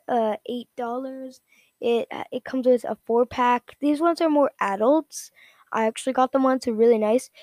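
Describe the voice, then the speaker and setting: Woman rambling casually, bright higher voice. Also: dry recording. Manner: rambling, repetitive, halting. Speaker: product reviewer. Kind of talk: casual unscripted product rundown.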